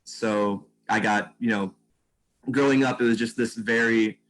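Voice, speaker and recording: Young guy from virginia, fairly low monotone voice, reflective, lots of ums. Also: a little slow, rough recording. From Virginia, United States. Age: young adult. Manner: reflective, uses "um" often. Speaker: scholarship recipient guest. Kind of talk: casual interview answers.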